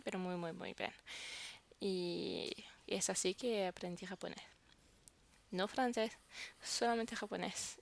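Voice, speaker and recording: A woman's voice: medium pitch, gravelly. A woman whose first language is French, vlogging casually to camera and searching for words as she talks.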